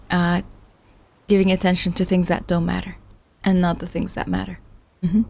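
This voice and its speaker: Slow, gravelly woman streamer musing aloud. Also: mid-range voice, darkish tone. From Iraq. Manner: musing, self-deprecating, chats with viewers. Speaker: livestream host studying along. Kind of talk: casual thinking aloud while following a lecture.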